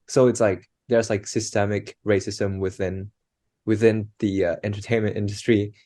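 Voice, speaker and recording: A young man interviews a classmate, his questions loose and hesitant with "um" and "you know". His voice sits a little low, recorded dry and close. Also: little pitch movement, normal speed, dark tone, no echo. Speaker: student interviewer. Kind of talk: casual research interview.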